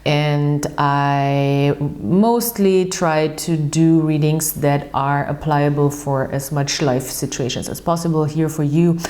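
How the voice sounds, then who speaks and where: A woman with a fairly low voice hosts a casual tarot reading in English. She talks in a chatty, earnest way and often restarts sentences. She repeats words for emphasis. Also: steady tone, slow pace, few pauses, warm tone. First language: German. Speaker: tarot reader and channel host. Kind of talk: casual tarot reading while shuffling cards.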